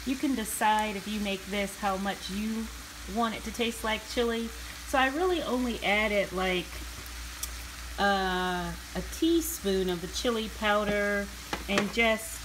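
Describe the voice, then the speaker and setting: A woman's voice: medium pitch, unhurried. A woman casually explaining her meal prep step by step in her home kitchen, recorded on a handheld phone. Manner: chatty, explains step by step with fillers. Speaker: home cook vlogger. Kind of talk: casual cooking narration. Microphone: handheld phone camera. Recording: faint kitchen noise, sizzling pan.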